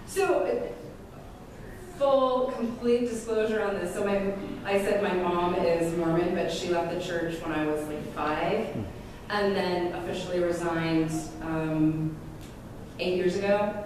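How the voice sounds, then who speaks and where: A woman with a deep voice for a woman, a guest speaker answering audience questions after her talk, candid and quick to laugh at herself. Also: steady tone. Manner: laughs often, self-deprecating. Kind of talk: lecture Q&A, casual and candid. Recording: echoey room, distant mic, background noise.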